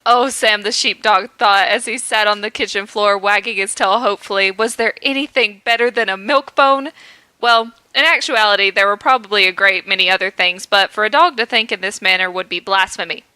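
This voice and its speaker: A woman's voice: medium pitch, brisk, bright, forceful tone, clean tone, no echo, poor audio. A woman hosting a podcast, reading a comic story aloud in a playful way and laughing at the text, into a podcast microphone. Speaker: podcast host.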